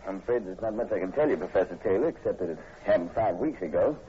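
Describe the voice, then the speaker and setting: A man's voice: deep voice, expressive, fast talker, soft background music. An actor playing a skeptical state police sergeant in a 1940s radio horror drama, folksy and matter-of-fact in his delivery. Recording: muffled, hissy old radio recording.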